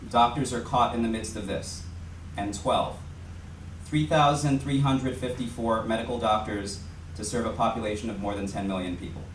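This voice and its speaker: Man speaking from far off in an echoey room. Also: medium pitch. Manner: earnest, reads out a list. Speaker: panelist. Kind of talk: panel talk. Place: lecture hall. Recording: echoey room, mic far off, faint noise.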